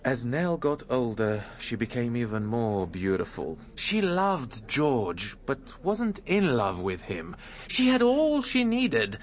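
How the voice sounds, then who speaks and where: An actor playing a lively, composed man who recounts a story in a radio drama. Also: mid-range voice, takes it slowly. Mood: reflective storytelling. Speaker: narrator and character. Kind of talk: dramatic radio narration and dialogue.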